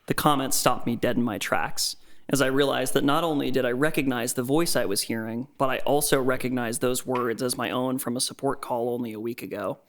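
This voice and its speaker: A man's voice: medium pitch, steady tone, a little fast, natural voice. An actor playing a frightened captive office worker, narrating his ordeal in the first person as an earnest, tense horror story. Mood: fearful.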